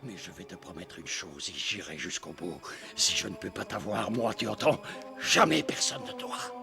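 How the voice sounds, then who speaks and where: A creepy lovesick cartoon priest, super dramatic, big swings in pitch, long reverb, music behind. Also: male voice, very animated, moderate pace, vocal fry, full character voice. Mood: menacing, furious. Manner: theatrical, impassioned, swinging from coaxing to threatening. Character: obsessed, menacing, manipulative priest in love. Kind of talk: dramatic French cartoon dub acting.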